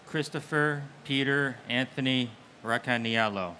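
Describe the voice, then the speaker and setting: Man with a bright, forceful voice, reading names unhurriedly and solemnly at a memorial. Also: mid-range voice, measured pace, slight background noise. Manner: steady and solemn. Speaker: name reader. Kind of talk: memorial ceremony name reading.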